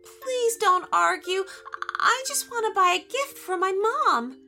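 A woman voicing a polite, gentle little girl in a children's story, timid and hesitant. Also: very high voice, lively intonation, slow, faint music behind, voice pushed up, full character voice.

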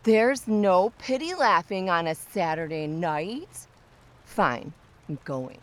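A woman's voice: lowish voice, lively intonation, slow, fairly bright tone, a little hiss or hum. A woman playing a tipsy, nosy and pushy young neighbour in a comic audio drama, chatty, teasing and insistent. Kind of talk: audio drama comedy acting.

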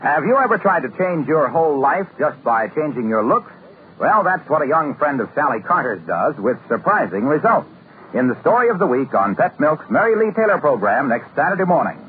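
A man's voice: on the high side, very animated, a little fast. A man announcing for a sponsor in the style of 1950s radio. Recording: tinny, noisy old radio recording.

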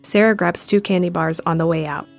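A woman giving steady scripted narration of an audio drama in the present tense. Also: lowish voice, fairly quick, creaky, faint music behind.

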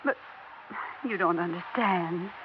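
A woman's voice: on the low side, takes it slowly. An actress playing an anxious, clinging older wife who pleads with her husband, in a 1960s radio drama. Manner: pleading, emotional, insistent. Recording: dull old radio broadcast with faint hiss.

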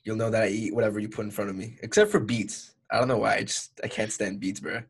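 A young man from Ecuador, co-hosting a casual podcast, laid-back and quick to laugh. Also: fairly low pitch, steady tone, gravelly. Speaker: podcast co-host.

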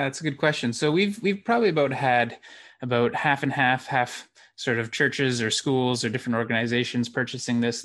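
A man's voice: mid-range voice, a bit bright. A man with a Canadian accent speaking as an interview guest, explaining things somewhat hesitantly. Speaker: interview guest, theatre producer and actor. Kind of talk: interview conversation.

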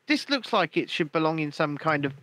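A man's voice: a little high, very animated, a little fast, bright, forceful tone, no echo. A man hosting a podcast, chatting casually, teasing his co-host, swearing freely and laughing a lot.

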